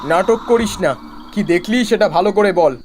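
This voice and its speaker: A brash young man in an animated horror story, played by an actor. He talks in a bossy, mocking way, like the scolding leader of a group of friends. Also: mid-range voice, fairly quick, noisy background, lightly acted. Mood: irritated, scolding. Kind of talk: animated horror cartoon dialogue.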